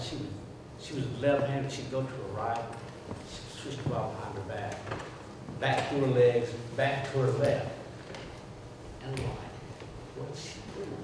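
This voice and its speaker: An older man speaking at a memorial gathering, reminiscing informally and rambling, with frequent restarts. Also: mid-range voice. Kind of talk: informal spoken remembrance. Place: indoor gathering hall. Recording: echoey hall from far off, with clear background noise.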